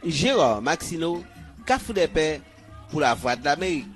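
A man reading a news report as voice-over in a measured way. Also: a little high, sing-song, soft background music.

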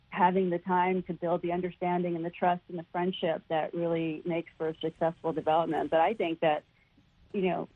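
A woman giving earnest, emphatic answers as an interview guest over a band-limited phone line. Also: fairly low pitch. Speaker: non-profit founder, interview guest. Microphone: telephone.

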